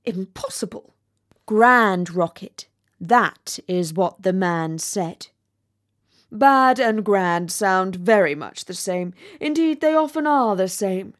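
A woman's voice: medium pitch, animated, slow. A woman voicing a vain, pompous male rocket in a storybook, haughty and easily offended. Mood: indignant, slow. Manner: pompous, haughty, self-important. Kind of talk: storybook character voice.

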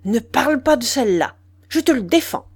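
A woman's voice: medium pitch, lively intonation, normal speed, a little mellow, lightly acted. A cold, cynical lover in a storybook drama, voiced by a woman. He speaks with haughty self-assurance. His manner is curt and commanding, that of a man used to dominating. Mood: stern warning. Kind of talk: dramatised audiobook dialogue.

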